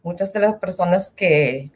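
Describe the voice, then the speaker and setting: Woman, from the Philippines, lowish voice, slow and hesitant, nervous speaking Spanish. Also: breathy edge. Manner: hesitant, many fillers, slips into English. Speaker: guest. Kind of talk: nervous testimony in halting Spanish.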